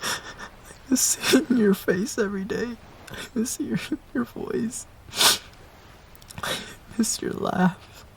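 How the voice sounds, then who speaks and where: A man acting a heartbroken ex-boyfriend in an intimate romantic audio roleplay, pleading in a halting, breathy, emotional way. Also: very high-pitched, a little hiss or hum, exaggerated voice. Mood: tearful, pleading.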